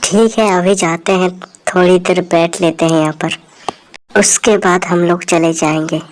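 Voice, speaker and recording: A friendly, chatty young cartoon woman, voiced by a female performer, with a lowish pitch and an informal manner. There is some noise on the recording. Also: fairly even intonation, unhurried, natural voice. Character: friendly, egalitarian young women on a forest outing.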